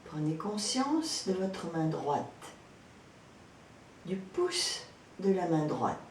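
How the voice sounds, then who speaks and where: A woman leading a guided yoga relaxation as an instructor, speaking calmly and gently with frequent pauses. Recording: roomy echo, distant mic, background noise, rumble.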